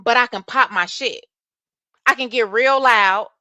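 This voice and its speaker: Soft-voiced woman with hosting a live chat, bright sharp tone, kinda high, dry close mic. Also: a little slow, no echo. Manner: animated, talks back to chat, curses freely. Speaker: livestream host. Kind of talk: casual live chat with viewers.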